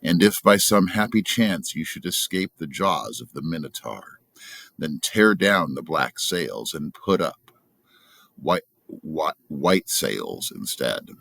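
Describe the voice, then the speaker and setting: A solemn, loving old father from a storybook, voiced by a man reading. He speaks haltingly and sorrowfully in a very low, creaky voice. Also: very animated, fairly bright tone, no echo, full character voice.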